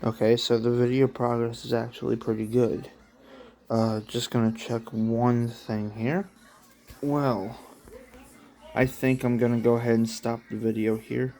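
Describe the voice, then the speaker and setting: A man's voice: mid-range voice, little pitch movement, measured pace, mellow. A man casually reading his own story aloud as a channel host, in an offhand storytelling manner. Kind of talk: casual read-along narration.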